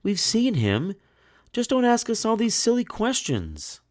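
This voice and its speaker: A man's voice: sits high, huge pitch swings, unhurried, pitched above the performer's own voice, heavily acted. A man voicing two vain, cruel, haughty young sisters in a children's storybook. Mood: indignant. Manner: haughty, demanding, stammering when cornered.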